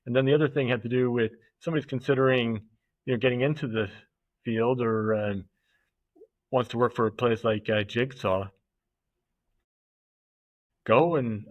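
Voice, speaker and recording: A man speaks as a podcast guest, halting and reflective, circling back and restarting as he thinks aloud. His voice sits at a middling pitch and sounds dry and close. The recording is dull and slightly muffled. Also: no room sound. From United States. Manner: thoughtful, halting, with many fillers and restarts. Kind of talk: casual conversational interview.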